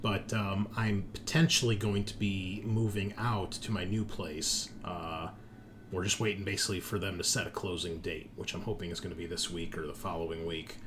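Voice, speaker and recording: A man's voice: deep voice, level tone, moderate pace, noisy background. A man hosting a tabletop game as game master, bantering casually with teasing and swearing.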